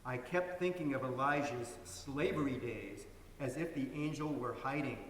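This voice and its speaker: A man's voice: mid-range voice, normal speed, a bit bright. Man narrating from afar in echoey hall. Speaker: reader in a dramatic story reading. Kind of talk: scripted first-person storytelling. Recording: echoey hall, distant mic, faint noise.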